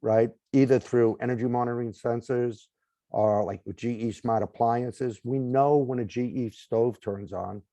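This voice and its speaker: A man's voice: mid-range voice, steady tone, moderate pace, dark tone, no room sound. A man with a Boston accent, a guest in an interview explaining home technology in long lists, often ending points with "right?". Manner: explanatory, lists items, frequent "right?" tags. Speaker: smart home company guest. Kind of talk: conversational interview.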